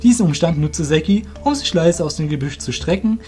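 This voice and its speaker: Higher-pitched man narrating a fantasy story. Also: lively intonation, a little fast, a little mellow. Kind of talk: scripted fantasy audio drama narration. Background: faint music.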